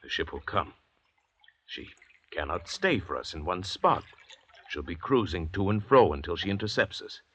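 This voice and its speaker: Deep-voiced, coldly commanding convict doctor. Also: male voice, big pitch swings, fairly bright tone. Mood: stern control. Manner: measured, condescending, eloquent. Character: cold, arrogant, commanding convict doctor, calculating leader of escapees. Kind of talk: old-time radio drama acting. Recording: dull, noisy vintage radio recording.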